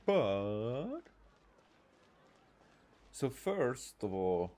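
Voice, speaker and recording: A man's voice: mid-range voice, sing-song, drawn-out words, dark tone. A man doing casual let's-play commentary, reading game dialogue aloud in a hesitant way with many "uh"s and restarts.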